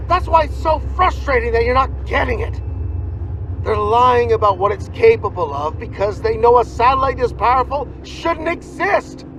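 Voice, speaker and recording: A man's voice: very high-pitched, big pitch swings, normal speed, a bit bright, a little hiss or hum, exaggerated voice, low-quality recording. A man playing an impassioned, defiant young soldier in a post-apocalyptic video game, arguing emphatically. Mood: heated, indignant. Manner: emphatic, argumentative, pleading, swearing. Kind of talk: video game cutscene acting.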